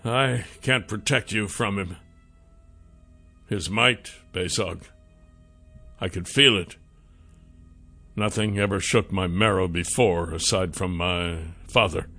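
A man voicing a young male dragon hero who speaks quietly and sounds troubled. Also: deep voice, takes it slowly, pauses often, faint music behind, full character voice. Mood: worried.

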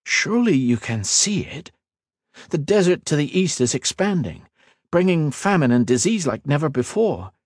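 A man voicing an earnest, calm male mage lord who speaks evenly and respectfully. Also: fairly high pitch, moderate pace, no echo, studio quality, pitched above the performer's own voice. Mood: persuading.

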